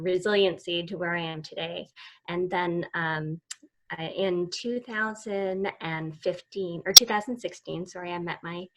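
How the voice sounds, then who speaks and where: Perempuan Kanada empat puluhan, suara agak rendah. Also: female voice, on the low side, fairly bright tone, poor audio. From Eastern Canada. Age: 40s. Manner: rambling, many restarts and "so"s. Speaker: guest, summit founder. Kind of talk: casual interview chat.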